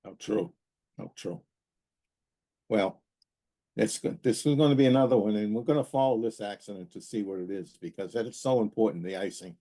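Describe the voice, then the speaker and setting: A man with a low-pitched, dark and relaxed voice, hosting an aviation talk. He explains in a casual, conversational way, pausing often and repeating words as he goes. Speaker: aviation safety podcast host and pilot.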